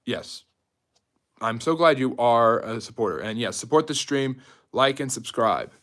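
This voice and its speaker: A man speaks in a mid-pitched voice as the host of a casual history live stream. He is chatty and informal, moving between explanation and replies to viewers in the manner of an off-the-cuff lecture. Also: animated, a little slow, a bit bright. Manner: chatty, jumps between topics, reads out and answers viewer comments.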